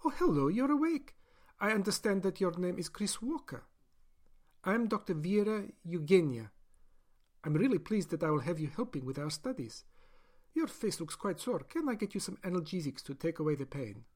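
A man's voice: high-pitched, big pitch swings, normal speed, a little mellow, pitched above the performer's own voice. A man voicing a polite but ruthless woman doctor who experiments on captive people, in a prim, clipped, coolly courteous manner. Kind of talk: audiobook character voice.

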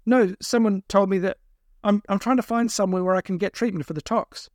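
A man's voice: sits high, steady tone, brisk, no echo, studio quality, pitched above the performer's own voice, lightly acted. A man playing a frightened male captive in an audiobook, urgent, defensive and prone to stammering. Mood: anxious, pleading. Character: frightened, pleading male captive traveller protesting his case. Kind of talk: audiobook character voice.

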